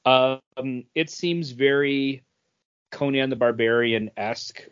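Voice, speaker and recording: A man's voice: mid-range voice, takes it slowly, fairly bright tone, clean tone, lo-fi sound. A man co-hosting a podcast, talking casually about what he is reading. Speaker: podcast co-host. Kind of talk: casual talk about comic books.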